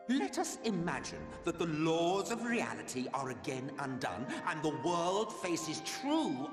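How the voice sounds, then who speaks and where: A man acting an ancient, immortal video game villain, bitter, contemptuous and grieving, with grandiose theatrical delivery. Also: fairly high pitch. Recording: echoey hall reverb, orchestral music behind.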